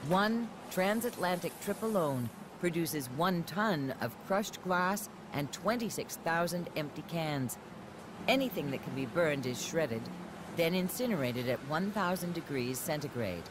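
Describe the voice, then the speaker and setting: A man doing measured, scripted narration for a documentary voice-over. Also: a little high, lively intonation, noisy background. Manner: measured, explanatory. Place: studio voice-over. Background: music.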